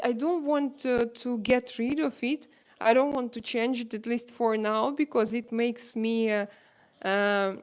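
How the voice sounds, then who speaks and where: A woman with a Russian accent and Russian as her first language, hosting a casual live-stream chat at home and pausing often to search for words. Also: on the high side, clean tone. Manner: halting, searching for words with many pauses.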